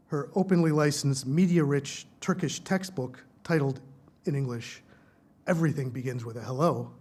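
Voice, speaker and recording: A man's voice: mid-range voice, a little mellow. Man giving formal event introductions. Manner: measured and formal, reading from prepared remarks. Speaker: event host introducing speakers. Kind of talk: reading formal introductions at a podium. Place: lecture hall at a research library.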